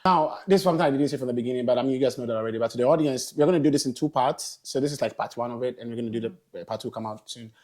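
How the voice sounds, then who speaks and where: Quick-talking male interview host. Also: medium pitch. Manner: lively, many fillers and affirmations. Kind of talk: casual conversational interview.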